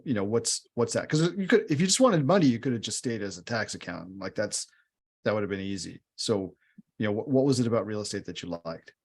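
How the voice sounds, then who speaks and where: A man hosting an interview show, asking probing questions in a relaxed conversational way with some restarts. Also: on the low side. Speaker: podcast host.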